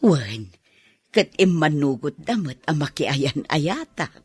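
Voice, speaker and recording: A woman's voice: low-pitched, sing-song, slow, a little mellow, husky, no room sound, heavily acted. An actress with an Ilocano accent playing a bashful middle-aged mother in a radio drama. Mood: warm, hesitant. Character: widowed mother around fifty, bashful about new romance.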